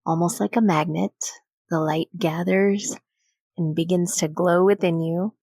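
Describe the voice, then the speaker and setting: Female meditation guide, soothing and gentle, lowish pitch, very unhurried delivery with generous pauses. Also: steady tone, creaky, no echo.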